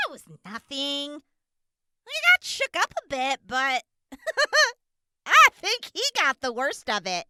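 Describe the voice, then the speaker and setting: A woman's voice: high voice, huge pitch swings, very slow, pauses often, bright, forceful tone, heavily acted. A woman playing a tough, wry and evasive wasteland survivor in an audio drama.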